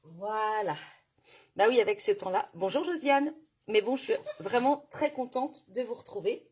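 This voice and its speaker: A woman's voice: mid-range voice, normal speed, darkish tone, breathy edge. A woman with a cold and sore throat, hosting a casual craft live stream and greeting viewers by name, chatty with many fillers.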